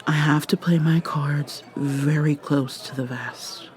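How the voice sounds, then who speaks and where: A woman's voice: low voice, fairly even intonation. Woman playing an ageless vampire mermaid, whispering. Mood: guarded. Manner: soft-spoken, often whispering, halting restarts. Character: ageless secretive female vampire mermaid, guarded. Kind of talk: ASMR roleplay.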